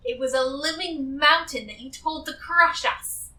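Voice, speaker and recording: A woman's voice: high voice, a little slow, pushed and bright, exaggerated voice. A woman voicing a doting, genteel mother in a tabletop game, who can be fierce or sweetly fussy. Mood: stern, threatening. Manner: fussy, genteel, calls people dear. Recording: roomy, noisy, distant group mic.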